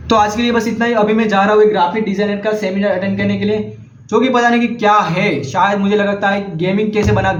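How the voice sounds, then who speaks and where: Young man, Gujarati accent, native Gujarati speaker, high voice, hesitant and earnest, echoey, slightly dull recording. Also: a little hiss or hum. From Gujarat, India. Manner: hesitant, frequent restarts, earnest. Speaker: vlogger. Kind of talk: casual book recommendations.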